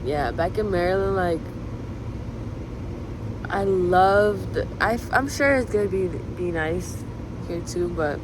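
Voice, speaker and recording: A young woman whose first language is Spanish, thinking out loud in a rambling, reflective monologue full of fillers, recorded outdoors. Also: fairly low pitch, slow pace, pauses often. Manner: musing, filler-heavy, drifting between thoughts. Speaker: vlogger. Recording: street and traffic noise behind.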